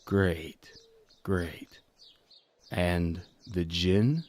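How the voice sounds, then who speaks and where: An actor playing a dry, villainous accomplice in a fantasy audio drama, with a bored, sardonic drawl. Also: male voice, deep voice, drawn-out words, darkish tone.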